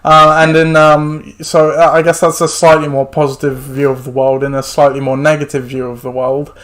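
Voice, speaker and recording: A man's voice: on the high side, little pitch movement, normal speed, a bit bright. A man hosting a casual sports podcast, chatty and rambling with lots of fillers, his voice a little worn as he says he is losing it. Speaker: podcast host.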